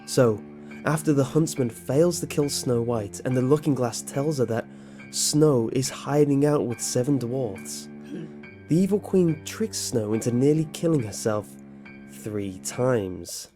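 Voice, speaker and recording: A narrator giving a steady scripted read of a countdown of dark story origins, played from a video. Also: medium pitch. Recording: narration with music bed.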